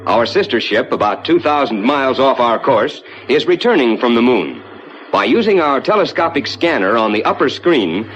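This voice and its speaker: An actor playing a calm, reassuring rocket ship captain who explains the flight to passengers in measured, courteous 1950s ride narration. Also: male voice, medium pitch, lo-fi sound, lightly acted. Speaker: spaceship captain and tour narrator. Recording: noisy vintage 1950s ride soundtrack.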